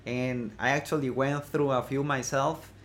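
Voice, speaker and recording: A man's voice: mid-range voice, steady tone, moderate pace, a little hiss or hum. Mexican-accented man, hesitant guest. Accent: Mexican Spanish. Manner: hesitant, many fillers and restarts. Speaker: guest, blogging course creator. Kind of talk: casual interview chat.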